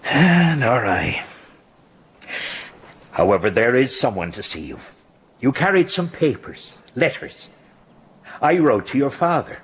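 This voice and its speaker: A man acting a reasonable, courteous magistrate in a radio drama. His voice is very husky and rough, moving animatedly up and down through formal, deliberate sentences. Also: medium pitch, very animated, fairly bright tone, full character voice. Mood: measured, probing. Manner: formal, deliberate, articulate phrasing.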